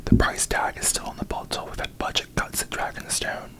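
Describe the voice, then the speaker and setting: A performer voicing a haughty, disdainful fantasy queen in a breathy ASMR whisper, with pompous, deadpan, regal diction, as a comic parody. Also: brisk, natural voice. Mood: scornful, dry.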